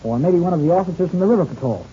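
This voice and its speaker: A man's voice: sits high, lively intonation, very fast, mellow. An actor playing an eager, observant, friendly teenage boy pilot in an old-time radio adventure serial. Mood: curious, eager. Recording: muffled, hissy old radio recording.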